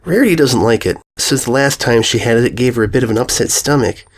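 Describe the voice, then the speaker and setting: A man's voice: mid-range voice, lively intonation, quick pace, natural voice. A man voicing a timid young female pony who speaks in a hesitant, stammering, apologetic way.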